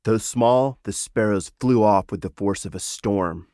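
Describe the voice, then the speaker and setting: Low-voiced man narrating a storybook. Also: a little slow, dark tone. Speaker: author reading his own book.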